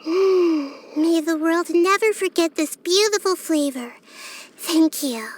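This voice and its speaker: Little girl deity, extremely high-pitched, gentle, whimsical, curious, wondering delivery, video game character. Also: takes it slowly. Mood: sweet, appreciative. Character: childlike girl god of wisdom, gentle, curious, thoughtful, whimsical.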